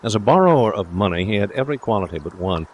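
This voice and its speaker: A man's voice: fairly low pitch, lively intonation, fast, slight background noise. A man reading literary prose as a scripted audiobook narrator. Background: ambient sound effects.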